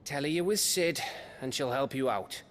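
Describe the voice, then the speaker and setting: Bluff scout, played by a male actor: loyal, fond, awkward, colloquial game dialogue, mid pitch, faint ambience. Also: normal speed, fairly bright tone, slight background noise, lightly acted. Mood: wry, cautioning. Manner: colloquial, bluff, grudgingly affectionate. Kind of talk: dramatic video game acting.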